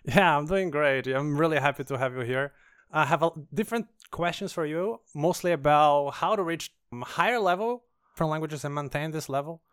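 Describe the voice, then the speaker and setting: Smooth-voiced host, man. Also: on the high side, moderate pace, fairly bright tone, no echo, clean studio sound. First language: Portuguese. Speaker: podcast host. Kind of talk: hosting an interview.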